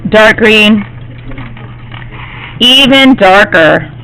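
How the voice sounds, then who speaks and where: A woman art teacher, slightly hoarse from losing her voice, gives a classroom demonstration and often checks in with her students. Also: medium pitch, expressive, drawn-out words, bright, forceful tone, a little hiss or hum, low-quality recording. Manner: reassuring, checks in with "okay?".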